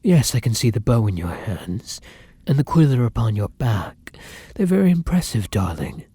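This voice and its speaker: Husky, breathy man playing a theatrical vampire lover. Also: medium pitch, very animated, normal speed, mellow, dry recording. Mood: fond, courteous. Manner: theatrical, formal, endearments, often dropping to a whisper. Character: hungry, affectionate, menacing male vampire lover. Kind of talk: ASMR roleplay monologue.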